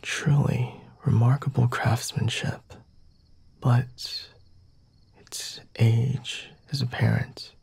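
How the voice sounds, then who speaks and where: A man voicing a flirty, condescending vampire in an ASMR roleplay, speaking deliberately in a husky half-whisper with sighs and hums. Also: mid-range voice, fairly even intonation, darkish tone, dry recording, full character voice. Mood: condescending concern. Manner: slow, deliberate, formal wording with sighs and hums. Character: flirty, condescending, merciful male vampire, self-assured and teasing.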